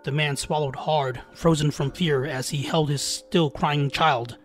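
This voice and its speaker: A man's voice: fairly high pitch, steady tone, unhurried. A man narrating a scripted scary folktale as a storyteller, with dramatic, suspenseful delivery. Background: background music.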